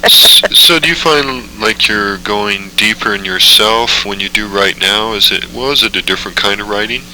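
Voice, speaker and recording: A male interviewer with a very low voice and a bright, sharp tone. He puts his questions tentatively and often reworks them mid-sentence. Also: normal speed, slight background noise, low-quality recording. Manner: hesitant, rephrases his questions.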